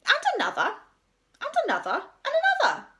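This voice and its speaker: A cheeky schoolgirl from a children's storybook, played by a woman, speaks with sly, overdone politeness and mock innocence. Her voice is very high and lilts up and down. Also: wildly swooping pitch, brisk, pushed and bright, full character voice. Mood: slyly teasing. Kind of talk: children's storybook read aloud.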